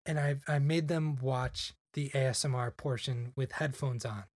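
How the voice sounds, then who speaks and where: A man's voice: medium pitch, fairly even intonation, moderate pace, no room sound, clean studio sound. A young man chatting casually on a podcast, rambling with lots of "like" fillers. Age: young adult. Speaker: podcast guest. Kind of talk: casual chat.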